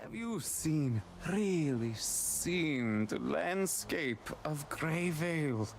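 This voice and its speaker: Rapturous male painter for a video game. Unhurried, wistful, dramatic. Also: on the high side, lively intonation, vocal fry, a little hiss or hum, full character voice. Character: dreamy, rapturous landscape painter. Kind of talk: video game dialogue acting.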